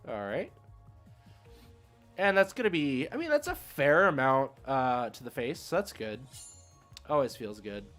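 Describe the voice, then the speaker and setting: Male game streamer, average pitch, casual rambling play-by-play, slangy, music underneath. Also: big pitch swings, a little slow, pauses often, a bit bright. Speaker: streamer playing an online card game. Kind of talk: casual live game commentary, thinking aloud. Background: music playing behind the voice.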